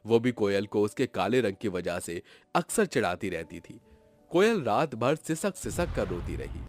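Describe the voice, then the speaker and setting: Male narrator, lowish voice, animated intonation, dramatic scripted story, faint music behind. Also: normal speed.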